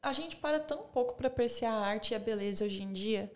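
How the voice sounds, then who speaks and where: A woman's voice: on the high side, fairly quick. A young woman from Brazil, a violinist guest, telling stories in casual chat with many fillers. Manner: chatty, storytelling, frequent "tipo" and "sabe".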